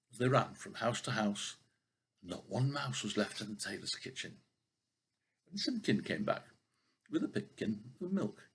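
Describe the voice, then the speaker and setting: A man's voice: mid-range voice, creaky, slightly rough. An older man, a grandfather, reading a children's story aloud expressively, now and then stumbling over a word.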